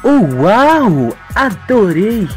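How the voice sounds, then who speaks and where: A man's voice: sits high, big pitch swings, drawn-out words, soft background music, voice pushed up, full character voice. A man voicing a scheming, ambitious young woman villain, coaxing, playful and mocking, in a radio soap. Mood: excited.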